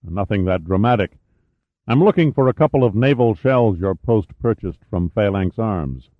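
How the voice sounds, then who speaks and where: A man's voice: on the low side, expressive, moderate pace, lightly acted. A man voicing a courteous, serious military colonel in an audiobook, formal and understated. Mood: polite. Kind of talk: audiobook character dialogue. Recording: dull, roomy, echoey sound.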